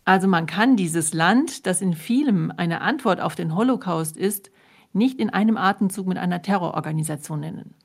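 A woman's voice: lowish voice, normal speed, creaky. A woman from Germany, co-hosting and narrating a scripted radio feature. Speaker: co-host of a radio programme.

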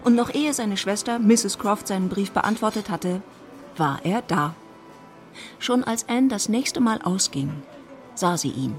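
A woman narrating a literary radio drama in German in a measured, precise way. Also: mid-range voice, faint music behind.

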